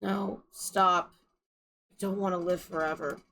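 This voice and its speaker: A woman's voice: fairly low pitch, steady tone, unhurried, pushed and bright, a little hiss or hum. A woman voicing an anguished, dying young woman in a story reading, pleading and full of self-loathing. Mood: despairing.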